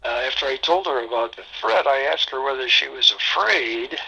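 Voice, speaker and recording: Older male pastor, 80s; bright but breathy timbre, reflective oral-history delivery, halting. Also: mid-range voice, expressive, dull audio. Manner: halting, reflective, many fillers.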